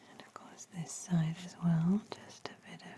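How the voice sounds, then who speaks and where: Female ASMR practitioner role: gentle, breathy whisper, mellow and low-toned, soothing delivery. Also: flat delivery, normal speed. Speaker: ASMR host playing an ear-care practitioner. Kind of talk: soft-spoken ASMR roleplay.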